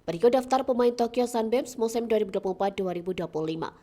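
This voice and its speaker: A woman reading a scripted sports news report in an even, read-aloud manner, her voice dropping at the ends of sentences. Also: a little high, fast. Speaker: news narrator.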